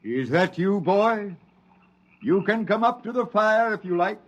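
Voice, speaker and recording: A solemn old wanderer, speaking in a slow, preacher-like cadence. His voice sits a little high for a man. It comes from an old radio drama. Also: expressive, dull audio. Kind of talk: 1950s radio drama acting.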